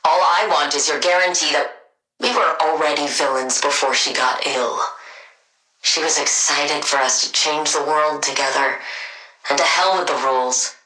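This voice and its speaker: A woman playing a cold, sardonic scientist villain in a video game, speaking in a dry, clipped deadpan. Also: low voice, normal speed, roomy sound, low-quality recording. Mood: measured, guarded. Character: cold, sardonic female genius scientist villain devoted to her wife.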